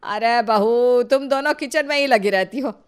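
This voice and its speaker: Woman voicing a bossy, scolding Indian mother-in-law, high-pitched. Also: normal speed, slight background noise, natural voice. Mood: angry, scolding. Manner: sharp, bossy, nagging. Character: scolding, scheming, domineering mother-in-law of two daughters-in-law.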